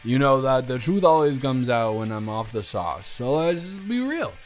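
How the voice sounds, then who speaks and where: A man's voice: mid-range voice, very animated, normal speed, soft background music, natural voice. A man playing a drunk young college roommate who rambles and stumbles sheepishly through a love confession, in slangy improvised audio drama. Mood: sheepish, earnest. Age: young adult. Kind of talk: improvised roleplay audio drama, one-sided conversation.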